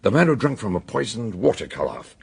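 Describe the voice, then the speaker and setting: An aging, eccentric British sleuth in a radio mystery. His lowish voice is very husky and crackles with gravel, swinging widely as he probes bluntly and exclaims theatrically. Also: sing-song, a little mellow, full character voice. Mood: probing, exclamatory. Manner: theatrical exclamations, deliberate questioning. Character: eccentric, blunt, aging male amateur detective, ponderous and probing. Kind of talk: British radio detective drama acting.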